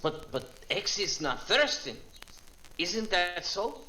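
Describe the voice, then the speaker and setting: Man acting an eager, high-pitched, ingratiating fixer. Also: big pitch swings, slow, pushed and bright, a little hiss or hum, heavily acted, poor audio. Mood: persuasive. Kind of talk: 1940s radio drama acting.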